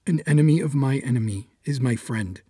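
A man playing a fierce, sardonic dark-side warrior in a sci-fi audio drama. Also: mid-range voice, brisk, husky, dry recording, lightly acted. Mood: wry, firm.

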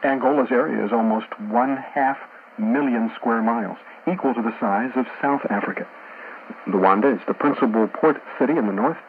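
A man working as a radio host and journalist, reading scripted discussion in a measured, formal, informative way over shortwave radio. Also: mid-range voice. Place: radio studio, heard over a shortwave receiver. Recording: muffled noisy shortwave radio broadcast.

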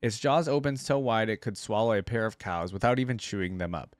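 A man's voice: fairly low pitch, a little fast, no room sound, clean studio sound. A young man reading a story aloud and commenting casually as a reaction video host. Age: young adult.

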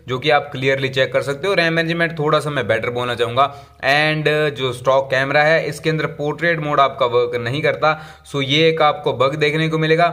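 Male tech vlogger, relaxed chatty voice-over, mid pitch, switches freely into English terms. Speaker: tech reviewer. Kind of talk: casual tech review walkthrough.

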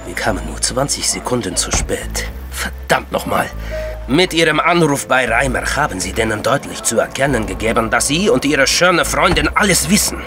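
An actor playing a gruff, commanding agent in a crime audio drama, brusque, dryly sardonic and scolding. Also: male voice, mid-range voice, big pitch swings, normal speed, music in the background, close to an everyday voice. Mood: exasperated, scolding.

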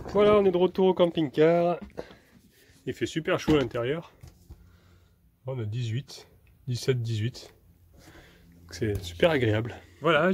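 A man in his thirties, filmed at arm's length on a phone, strolls outdoors and talks to his viewers in an easygoing, rambling way, often pausing to search for the next word. His voice sits at an ordinary middle pitch, and a faint wind noise runs behind him. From France. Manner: relaxed, chatty, talks to his dog and viewers, frequent hesitations. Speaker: vlogger. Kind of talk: casual walking vlog. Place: outdoors in town streets and a field, then inside a camper van. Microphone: handheld phone camera at arm's length.

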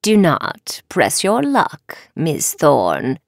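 Woman voicing a stern, slow-spoken witch professor. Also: low-pitched, huge pitch swings, gravelly, dry recording, clean studio sound, full character voice. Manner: deliberate, formal admonishing. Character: stern old witch professor, measured and knowing.

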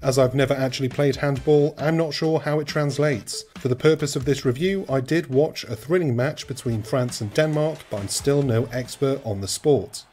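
A man's voice: medium pitch, moderate pace, hardly any pauses, darkish tone. A man from rural Wales, reading a scripted video game review with dry, cheeky humour.

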